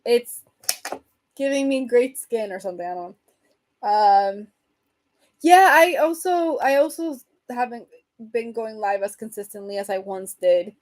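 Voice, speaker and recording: A woman hosting a casual livestream, rambling and answering viewer comments, her voice getting hoarse from talking so much. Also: fairly high pitch, lively intonation, unhurried, pauses often, darkish tone. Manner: chatty, rambling, reads and answers comments, laughs often. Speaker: livestream host chatting with viewers.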